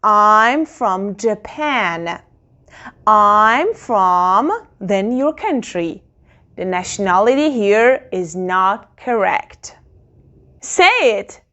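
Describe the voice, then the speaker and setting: A woman teaching a beginner English lesson, modelling phrases very slowly and clearly for learners to repeat. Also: mid-range voice, expressive, sharp tone, muffled recording.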